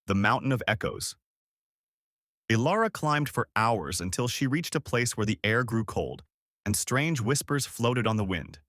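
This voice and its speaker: A male storyteller reads a children's fairy tale from a script. His pitch is on the low side and moves in lively swings. The sound is dry and close, with no room around it. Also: dry recording. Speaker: storyteller, narrator.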